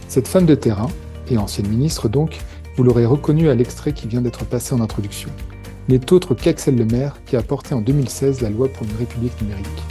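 A man's voice: mid-range voice, brisk, over music. A man hosting a podcast, reading a formal scripted introduction. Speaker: podcast host.

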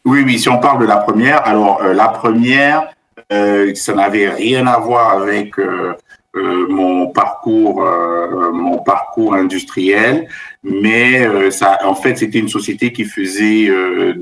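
A middle-aged man from Benin with a very low voice, talking as an interview guest. He thinks aloud, stalls on fillers and restarts his sentences, settling into a careful account of his own path. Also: fairly even intonation, slow, a bit bright. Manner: hesitant, many fillers and restarts. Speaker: guest, business school professor. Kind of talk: interview conversation.

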